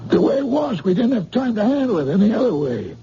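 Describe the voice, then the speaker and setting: An actor playing a menacing gangster boss in a 1950s radio drama, with tough slangy gangster talk. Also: male voice, high-pitched, big pitch swings, fast, dark tone, a little hiss or hum. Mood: threatening. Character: tough male mob boss, menacing and demanding. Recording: dull lo-fi old radio broadcast.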